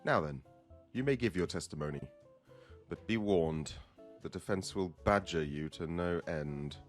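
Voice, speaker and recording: A man's voice: deep voice, unhurried, faint music behind. A man playing a cynical, gloating prosecutor in an audio drama, smug and menacing in a formal way. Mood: formal, scornful.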